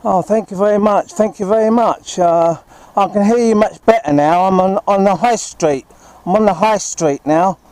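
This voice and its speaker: A man's voice: high-pitched, moderate pace, darkish tone, no room sound, rough recording. A man chatting casually on a handheld two-way radio, polite and often repeating himself. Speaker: CB radio operator testing a handheld while walking. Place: outdoors on a high street and park walk.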